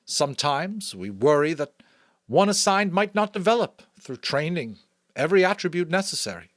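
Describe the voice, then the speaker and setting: Man voicing a commanding, high-pitched female chief elder. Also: very animated, fairly bright tone, dry recording, clean studio sound. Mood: emphatic, grave. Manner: firm, declamatory, deliberate. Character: solemn commanding female chief elder addressing her community. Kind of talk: dramatic audiobook character voice.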